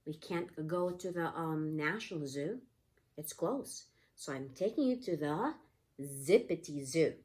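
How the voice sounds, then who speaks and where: Slow, very animated female preschool teacher. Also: low-pitched. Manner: simple explanations with repeated questions and prompts. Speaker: pre-K teacher. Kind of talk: read-aloud lesson for young children, asking questions.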